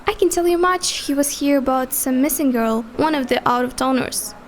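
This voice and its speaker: A woman's voice: sits high, normal speed, a little hiss or hum, lightly acted. A woman playing a polite, chatty small-town diner waitress in an audio drama. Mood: helpful, gossipy. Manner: polite, helpful, gossipy.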